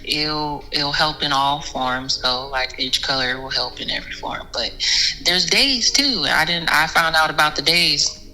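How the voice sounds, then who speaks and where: A woman's voice: deep voice, measured pace, bright, forceful tone, low-quality recording. A woman guest in a casual interview, talking animatedly about her music with a soothing voice, often restarting words. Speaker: podcast guest, singer.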